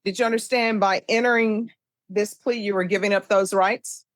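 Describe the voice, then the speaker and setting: A woman's voice: mid-range voice, fairly even intonation, no echo. Authoritative American woman judge, dry close sound. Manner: brisk, authoritative, recites by rote. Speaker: judge presiding over a plea hearing. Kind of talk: formal procedural courtroom questioning. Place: courtroom.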